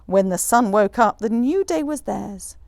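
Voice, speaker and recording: Woman author reading a kids rhyming story, really lively up and down pitch, bit of creak, dry close sound. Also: a little slow, no room sound. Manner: animated, rhythmic rhyming delivery. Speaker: author reading own picture book. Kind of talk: expressive children's storybook read-aloud.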